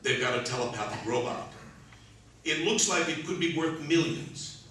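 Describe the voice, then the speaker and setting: Man playing animated, musing narrator, very echoey. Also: a little high, normal speed. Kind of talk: theatrical radio play monologue. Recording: echoey room, distant mic, faint noise.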